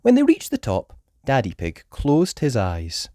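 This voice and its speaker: A woman reading a children's story aloud as an expressive storyteller. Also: very deep voice, a little slow, dry recording, clean studio sound.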